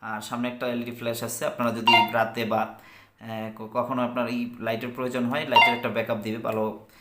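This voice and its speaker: A man with a Bangladeshi accent gives an unscripted product review and sales pitch, halting and repeating himself as he searches for words. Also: level tone, heavy background noise. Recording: echoey room.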